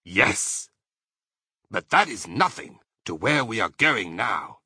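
A man's voice: fairly low pitch, sing-song, takes it slowly, sharp tone, husky, no room sound, clean studio sound, full character voice. A man voicing a sly, courteous fox father in a children's storybook, suave and savouring his words. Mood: conspiratorial.

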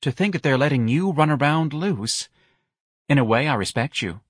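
Guy doing a campy breathy young man in a novel, gushy and dramatic, pitch all over the place. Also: animated, moderate pace, no room sound, clean studio sound. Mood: amazed, gushing. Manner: camp, breathy, dramatic, gushing. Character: effeminate, theatrical young man, gushing and world-weary. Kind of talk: audiobook character acting.

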